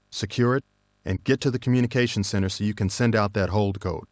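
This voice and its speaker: A stern military officer gives a brisk, matter-of-fact mission briefing, voiced by a man in a game cutscene. His voice sits low and plain. The sound is close and dry, with no room around it. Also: no room sound, natural voice.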